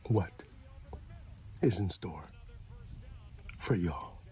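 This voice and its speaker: Deep, slow, hushed ASMR man. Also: animated. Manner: hushed, half-whispered, unhurried with long pauses. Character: supportive, gently encouraging male friend. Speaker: ASMR roleplay performer. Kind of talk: soft ASMR roleplay, reading aloud and comforting a friend.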